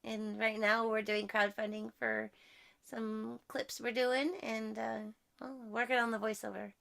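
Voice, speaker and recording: A woman with a high, childlike voice that is very bright and forceful. Gravelly creak runs through it as she casually introduces herself as a voice actor. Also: level tone, unhurried. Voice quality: childlike, cartoonish natural voice. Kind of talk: casual self-introduction to a group.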